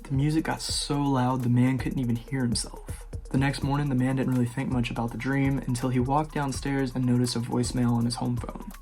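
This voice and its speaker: A man's voice: medium pitch, level tone, fairly quick, hardly any pauses, darkish tone, faint music behind. A man hosting a scary-story video, narrating informally and speaking directly to his viewers. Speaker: horror story YouTuber, host.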